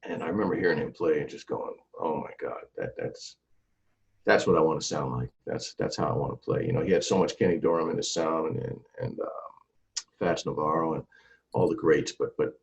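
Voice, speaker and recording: A man in his forties talking about his music career in a casual interview, animated and full of restarts and repeated words. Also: a bass voice, brisk, gravelly, a little hiss or hum. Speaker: trumpeter and singer, interview guest. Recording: dull lo-fi sound.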